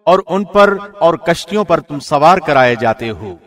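A man reading a scripture translation in a formal, measured and solemn radio presenter's style. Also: fairly high pitch, expressive, soft background music. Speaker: translation reader, radio host.

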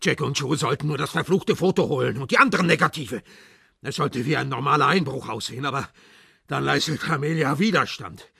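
An actor playing a desperate, foul-mouthed villain in a crime radio drama, pleading bitterly in a hoarse, strained voice. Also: male voice, medium pitch, fairly quick, bright, forceful tone, no echo, clean studio sound, exaggerated voice. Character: desperate, foul-mouthed male villain, a huge treacherous friend.

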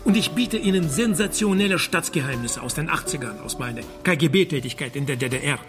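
Actor playing a wry ex-spy detective, highish voice. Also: male voice, expressive, fairly quick, over music, lightly acted. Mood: sly, haggling. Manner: dry, ironic, understated. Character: wry Soviet ex-KGB agent turned private detective. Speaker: narrator and lead character. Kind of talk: radio drama acting with first-person narration.

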